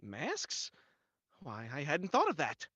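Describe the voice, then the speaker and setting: Male actor as anxious, weak-willed radio-horror accomplice: sharp, melodramatic, wildly varied pitch, dull dry recording. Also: wildly swooping pitch, slow pace, no room sound, heavily acted. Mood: fearful. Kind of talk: 1940s radio horror acting.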